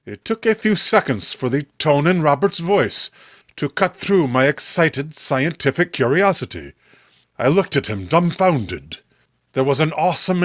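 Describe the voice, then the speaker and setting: A man delivers dramatic horror narration at a deliberate, slow pace. His fairly high voice moves up and down expressively. He sounds theatrical and scripted. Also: fairly bright tone, no room sound, studio quality. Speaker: narrator and channel host.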